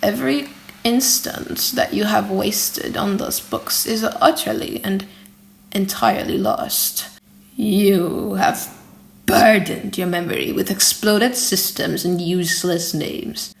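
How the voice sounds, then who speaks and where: A woman's voice: medium pitch, steady tone, slow pace, gravelly. A woman voicing a scornful, uncouth male professor in an audiobook, scolding with pompous emphasis. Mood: scornful, exasperated. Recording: echoey room.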